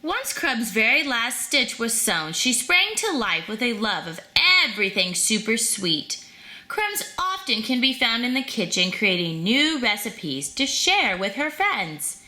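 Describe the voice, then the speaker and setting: A woman reading a children's storybook aloud in an animated, expressive storytelling manner. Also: a little high, unhurried. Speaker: storybook reader.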